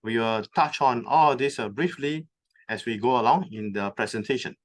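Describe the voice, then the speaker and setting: A man giving a conference presentation, explaining terms step by step and pausing often on filler sounds. He has just moved to Hong Kong from North America. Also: medium pitch. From recently moved from North America. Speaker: conference speaker. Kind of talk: presentation talk. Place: conference venue.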